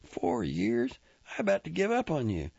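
A terse, awkward young ranch hand with clipped, plain country speech, as a male reader puts him on. The voice rises high above the reader's low natural tone and has a gravelly grain. The recording is close, dry and a little dull. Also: huge pitch swings, normal speed, darkish tone, dry recording, exaggerated voice. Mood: agitated. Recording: dry, slightly muffled recording.

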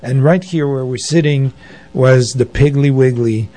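An older man telling anecdotes as an interview guest, in a halting, conversational way. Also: medium pitch, warm tone. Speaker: interview guest, arts organizer. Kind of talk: conversational reminiscing.

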